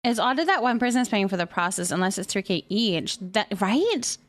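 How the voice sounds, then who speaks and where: A woman hosting a live stream, chatting casually with viewers in an animated, expressive, sympathetic and informal way as she reads and answers their comments. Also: mid-range voice, moderate pace.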